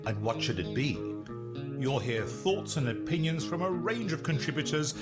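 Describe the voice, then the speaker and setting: A man's voice: a little high, big pitch swings, moderate pace. Man announcing a podcast intro over guitar. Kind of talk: scripted intro narration. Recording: loud guitar music behind. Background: plucked guitar music.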